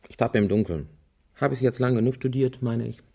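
An actor playing a wry, easygoing man in a German radio crime drama, thinking aloud methodically in a dry, deliberate way. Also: medium pitch, gravelly, warm tone, slightly rough. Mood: wry, casual.

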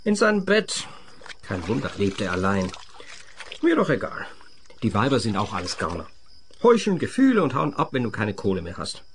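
A man's voice: lowish voice, wildly swooping pitch, moderate pace, slight background noise. An actor playing a bitter, drunken jobless man who grumbles and rants in a radio play. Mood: dismissive, bitter. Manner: grumbling, repetitive ranting.

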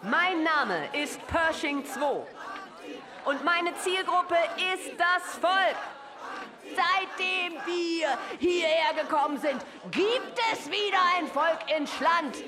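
A woman voicing a bombastic nationalist missile, shouting a declamatory propaganda speech on a demo tape. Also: extremely high pitch, slow, a crowd in the background, voice pushed up. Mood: fanatical. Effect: played back as a demo tape.

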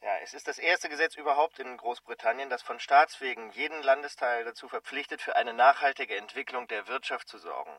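An actor playing a pedantic, needling radio caller, precise and smug, in a radio drama, sounding set back from the microphone. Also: male voice, mid-range voice, lively intonation, brisk, hardly any pauses, pushed and bright, no room sound, distant mic. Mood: smug, challenging.

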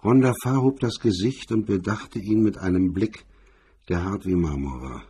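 A man with a husky, rough voice reading scripted crime fiction as a radio drama narrator. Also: deep voice, moderate pace, mellow, slight background noise.

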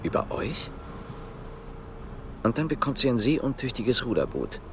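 An actor playing a wary East German police investigator in a naturalistic radio drama, putting short, probing questions. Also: male voice, mid-range voice, very animated, a little slow, fairly bright tone, slight background noise. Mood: sceptical, insistent.

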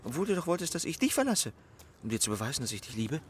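A man's voice: a little high, huge pitch swings, racing, slight background noise. A man acting a brooding, needling swindler in love, with an intense, probing manner, in a German radio play.

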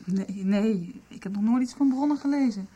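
An actress playing a candid, supportive young girlfriend in a radio soap, explaining things in a halting, confiding way. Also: mid-range voice, warm tone, husky, close to an everyday voice. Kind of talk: Dutch radio soap acting.

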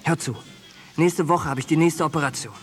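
Bitter, intense young soldier male actor, slightly high voice, blunt and brooding, radio drama with soft music underneath. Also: fairly quick, natural voice. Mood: bitter, agitated. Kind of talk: German radio drama acting.

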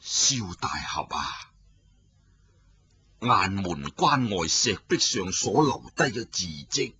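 Guy doing a deep slow old monk, grave and heavy, raspy. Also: big pitch swings, sharp tone, full character voice. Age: older. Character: old male Buddhist abbot, calm and grave.

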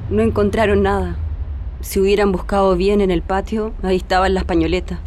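An actress with a Chilean accent playing a grieving daughter who gives sorrowful, reflective testimony in a radio drama. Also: female voice, medium pitch, steady tone, fairly quick, a little mellow, a little hiss or hum, close to an everyday voice. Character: grieving daughter recounting her father's death.

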